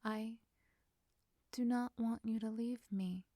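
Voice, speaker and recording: A woman voicing a dazed, wistful young lady in an audiobook-style story, mumbling sleepily in a hushed way. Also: fairly high pitch, steady tone, slow, no echo, studio quality. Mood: sleepy, wistful.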